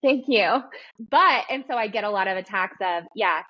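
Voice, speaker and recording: Host type: girly-voiced woman, slight lisp, animated chat, a little lo-fi. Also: medium pitch, moderate pace, dry recording. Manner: animated, laughs while talking. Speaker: podcast host and business coach. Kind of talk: casual interview chat.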